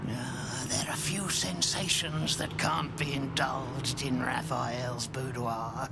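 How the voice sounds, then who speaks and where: An actor playing a spiteful, sadistic infernal portal keeper who sneers and relishes each word in a video game cutscene. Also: male voice, mid-range voice, steady tone, slow pace, gravelly. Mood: lewd relish. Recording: loud game music under voice.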